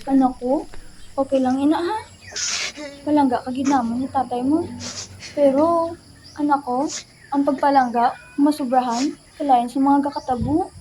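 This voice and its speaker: A tender, consoling mother acted by a woman in a radio drama, high in pitch, with a Western Visayan Filipino accent. The amateur recording has clear background noise. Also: steady tone, normal speed, pauses often, warm tone, close to an everyday voice, poor audio. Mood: tender, reassuring.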